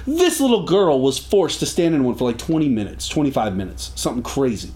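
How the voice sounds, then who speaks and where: Fast-talking joking male host. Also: mid-range voice, big pitch swings, a little mellow. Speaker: podcast host. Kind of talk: casual radio-style chat. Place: recording studio.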